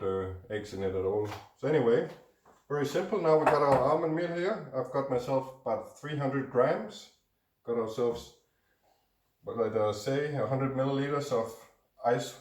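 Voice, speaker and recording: A hoarse, congested man hosting a cooking show, chatting casually as he mixes ingredients in a home kitchen. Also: mid-range voice, darkish tone. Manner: chatty, talks while mixing food. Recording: roomy echo, distant mic, faint noise.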